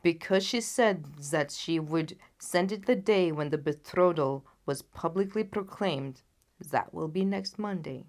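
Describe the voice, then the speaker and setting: A woman's voice: fairly low pitch, unhurried, a little mellow. A woman voicing a haughty, anxious king in a storybook, speaking in a solemn and emphatic way. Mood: grave, anxious. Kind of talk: dramatised audiobook reading.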